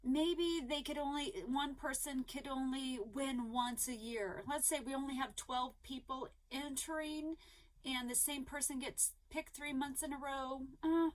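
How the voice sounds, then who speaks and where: High-voiced, chatty woman vlogger. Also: steady tone, measured pace, rough recording. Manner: chatty, rambling with many ums and restarts; eager and upbeat. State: slightly hoarse, losing her voice. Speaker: crochet vlogger. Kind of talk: casual chat to viewers.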